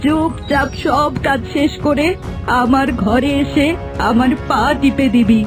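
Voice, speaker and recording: A woman's voice: high-pitched, slow pace. A woman playing a bossy, theatrical young daughter-in-law in a cartoon melodrama, with music underneath. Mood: angry, domineering. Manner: scolding, theatrical.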